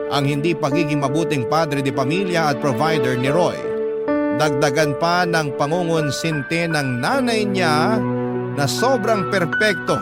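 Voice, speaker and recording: A male radio drama narrator with a fairly high voice reads a personal letter aloud. His delivery is dramatic storytelling, set over very loud background music.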